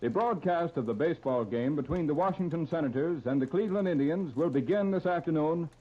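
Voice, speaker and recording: 30s radio announcer guy reading ads, fairly high voice, formal, muffled old sound. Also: fairly quick. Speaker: station announcer reading an advertisement. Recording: muffled 1930s radio recording.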